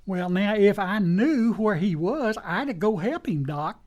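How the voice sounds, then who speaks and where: Male actor as loyal rustic sidekick in a radio western, very animated pitch, folksy delivery. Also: unhurried, muffled recording. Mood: worried. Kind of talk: western radio drama acting.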